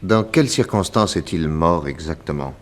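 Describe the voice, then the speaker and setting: An actor playing a calm, polite detective questioning witnesses in measured 1960s French radio mystery style. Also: male voice, low-pitched. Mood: calm, probing.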